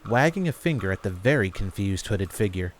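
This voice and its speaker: A man's voice: lowish voice, animated, brisk. A man reading scripted story narration as the narrator of a fantasy audio drama.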